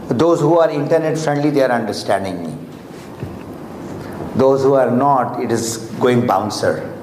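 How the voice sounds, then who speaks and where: A man's voice: mid-range voice, animated, unhurried, darkish tone, a little hiss or hum. A man whose first language is Urdu or Hindi, giving a motivational talk to an audience, earnest and coaxing, asking them questions. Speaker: motivational speaker. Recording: echoey hall recording.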